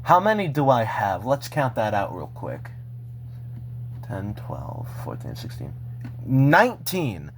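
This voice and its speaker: Male vlogger, casual show-and-tell, unhurried and halting, with some background noise. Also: mid-range voice, big pitch swings. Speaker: vlogger showing a personal collection.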